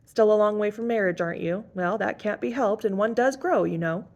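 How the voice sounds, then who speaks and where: A woman's voice: medium pitch, a little fast, dull audio, voice pushed up, natural voice. Woman putting on a doting old fortune teller. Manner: doting, sing-song, calls everyone dear. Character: fussy, cooing old female fortune teller, tiny and bustling.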